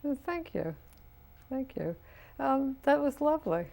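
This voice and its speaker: Female host for a casual interview; slightly high voice, halting phrasing with repeated words. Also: big pitch swings, vocal fry, darkish tone, faint music behind.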